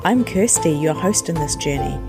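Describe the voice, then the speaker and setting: A woman host reading a scripted show introduction in a measured way. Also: low-pitched, animated, gravelly, music in the background. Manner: measured, read-aloud delivery. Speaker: podcast host.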